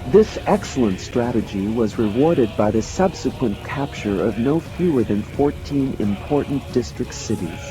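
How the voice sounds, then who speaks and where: Male narrator, medium pitch, formal, expository, scripted audiobook reading, music bed. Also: animated, normal speed, few pauses, rough recording.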